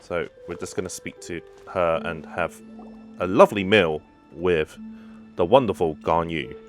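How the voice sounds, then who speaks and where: A man's voice: low-pitched, a little slow. A man giving casual, offhand commentary as he plays through a video game. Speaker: game streamer. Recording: game music behind the voice.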